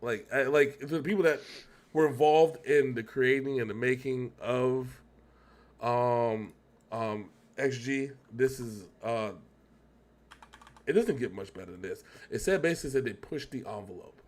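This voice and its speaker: A man's voice: mid-range voice, unhurried, darkish tone. A man hosting a casual music reaction chat, rambling and often correcting himself as he thinks aloud. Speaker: reaction show host.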